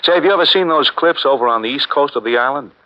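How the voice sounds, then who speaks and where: An actor playing a relaxed American traveler in a 1940s radio drama, casual and chatty. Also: male voice, medium pitch, fast talker, natural voice. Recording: muffled 1940s radio broadcast.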